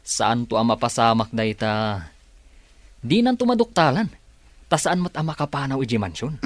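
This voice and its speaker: A man's voice: mid-range voice, very animated, moderate pace, dry recording. A man playing a smug, greedy schemer in an audio drama, with a sneering, gloating manner.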